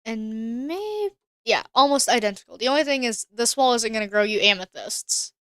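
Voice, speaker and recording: A woman's voice: a little high, animated, normal speed, a bit bright, clear, resonant tone, dry recording, studio quality. A woman streamer chatting casually while she plays a game, thinking aloud and answering her chat. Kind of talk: casual live-stream gameplay commentary.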